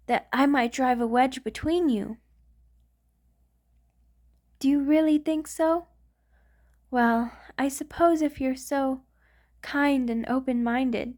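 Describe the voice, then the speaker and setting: A woman playing an affectionate, refined vampire in an ASMR romantic roleplay, speaking tenderly and a little hesitantly, with gently formal wording. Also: sits high. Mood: worried, hopeful. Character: affectionate, refined vampire queen in love with a human.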